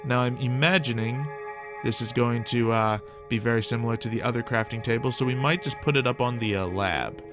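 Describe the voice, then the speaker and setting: A man with a middle-pitched voice hosts a gaming video over background music. He talks casually and off the cuff, thinking aloud and chatting to characters in the game as he roleplays. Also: brisk. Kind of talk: casual improvised Minecraft roleplay commentary.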